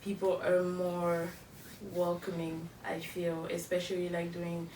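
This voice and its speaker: A young woman shares memories on a podcast, careful and self-correcting. Her voice is low and barely rises or falls. She sits away from the mic in an echoing room, with clear noise underneath. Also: monotone, a little mellow. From Cameroon. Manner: measured, self-correcting storytelling. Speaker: podcast co-host. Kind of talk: casual chat. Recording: echoey room, mic far off, background noise.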